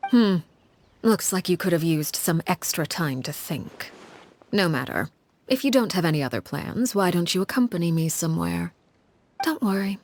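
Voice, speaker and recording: A woman voicing a cold, poised leader in a video game who speaks in a measured, formal, quietly mocking way. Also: on the low side, animated, a little hiss or hum. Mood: coolly mocking. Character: cold, commanding female leader, poised and unsettling.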